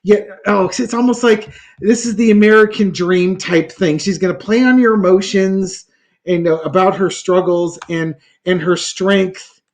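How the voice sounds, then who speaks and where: A man's voice: high-pitched, fairly even intonation, a little slow. A man reading tarot cards in a casual talk show, thinking aloud with many fillers and restarts. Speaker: tarot reader.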